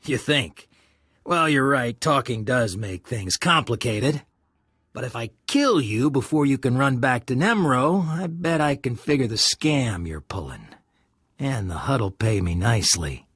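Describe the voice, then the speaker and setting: An actor playing a smug, menacing criminal blackmailer in a video game, husky, taunting with mock friendliness. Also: male voice, mid-range voice, animated, measured pace, a bit bright, no room sound, clean studio sound. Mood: sly, menacing. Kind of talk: video game character dialogue.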